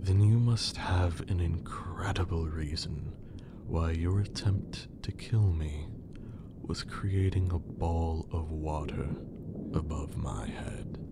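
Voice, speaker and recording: A man playing a haughty, menacing vampire lord in an ASMR roleplay, with condescending, theatrical speech and a breathy, creaky voice. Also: low-pitched, very noisy. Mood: indignant, scornful. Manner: slow, condescending, theatrical, archaic wording.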